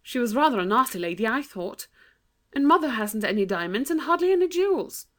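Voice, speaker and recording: A woman's voice: fairly high pitch, very animated, fairly quick, voice pushed up. A woman voicing a sensible, cautious girl who speaks earnestly. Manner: earnest, warning.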